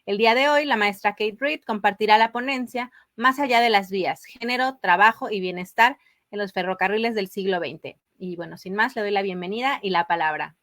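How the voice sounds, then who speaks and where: A woman's voice: medium pitch, fairly quick, a bit bright, no room sound, studio quality. A woman moderator formally and courteously introducing the next speaker at an event.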